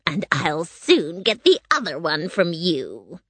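A woman's voice: on the low side, sing-song, slow, fairly bright tone, breathy edge, dry recording, heavily acted. A cruel old witch from a storybook. She is bossy and wicked, and speaks in a theatrical character voice with very little room around it. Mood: gloating.

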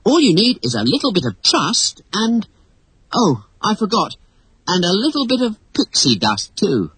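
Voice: medium pitch, wildly swooping pitch, normal speed, a bit bright, husky, no room sound, voice pushed up, full character voice. A storybook narrator voicing a cocky, mischievous boy adventurer with a brash, bossy, confident manner. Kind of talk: acted storybook dialogue.

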